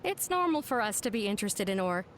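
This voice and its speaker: An actress playing a cheerful, friendly young ore enthusiast in a video game. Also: female voice, mid-range voice, sing-song, fast, heavy background noise, close to an everyday voice. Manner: bright, friendly.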